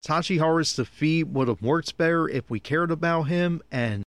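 A man's voice: on the high side, moderate pace, a bit bright, no echo, studio quality. A man giving opinionated review commentary in a critical, nitpicky, exasperated manner. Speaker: podcast host giving episode commentary.

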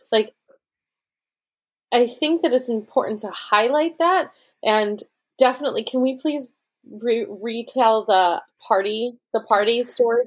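A woman hosts a podcast, asking her guest questions with eager enthusiasm. Her voice sits a little higher than most. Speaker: podcast host. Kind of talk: casual interview chat.

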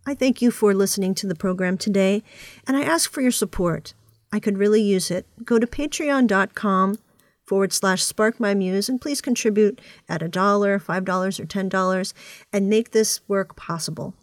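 A woman speaks as a devotional podcast host in an earnest, reflective way. Her phrasing is deliberate and her voice sits in a mid-range pitch. The sound is dry, with little room around it. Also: no room sound. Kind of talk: reflective spoken devotional with read prayer.

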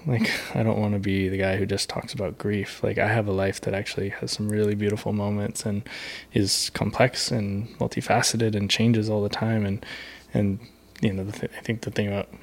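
A man with a fairly low, gravelly voice hosts a casual podcast interview. He talks candidly and loosely, leaning on filler words as he thinks. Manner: casual, lots of "like", open and candid. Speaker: podcast host.

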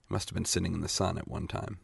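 A man's voice: sits low, fairly even intonation, fast talker, creaky, breathy edge, dry recording. A man reading literary short fiction aloud as a scripted narration. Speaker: short story reader.

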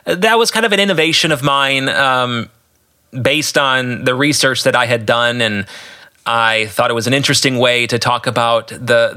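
A man's voice: mid-range voice, bright, forceful tone. A man giving solo podcast commentary as an author and host, earnest and explanatory. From United States.

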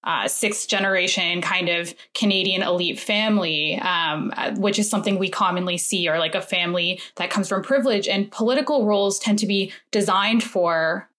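A woman's voice: fairly low pitch, moderate pace, hardly any pauses, fairly bright tone. A woman co-hosting a podcast, asking a long thoughtful question with frequent fillers. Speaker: podcast co-host. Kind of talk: interview question.

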